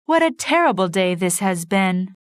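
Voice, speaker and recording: A woman's voice: medium pitch, sing-song, moderate pace, no room sound, studio quality, lightly acted. A woman voicing a spirited, outspoken teenage girl in a storybook reading. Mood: eager.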